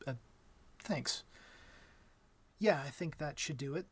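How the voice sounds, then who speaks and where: A young man playing an awkward, gentle vampire in an intimate ASMR roleplay, talking softly and hesitantly with a wry streak. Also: a little high, slow pace, slightly rough. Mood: nervous, relieved. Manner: hesitant, soft-spoken, wry.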